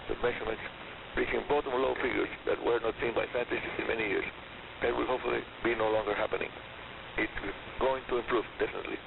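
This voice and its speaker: A man hosting a scripted technical radio program in a deliberate, emphatic way, heard over shortwave. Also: mid-range voice, gravelly, narrow, tinny audio. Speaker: radio host. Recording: hissy, noisy shortwave radio reception. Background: radio static and hiss.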